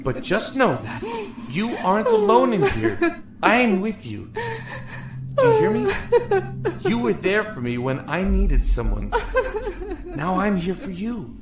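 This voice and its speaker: An actor playing a lonely, emotional young man in a prison cell in an audio drama, talkative and halting. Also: high-pitched, wildly swooping pitch, full character voice. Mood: tearful, consoling. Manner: halting, rambling, effusive. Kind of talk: audio drama acting with first-person narration. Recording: echoey room with music behind.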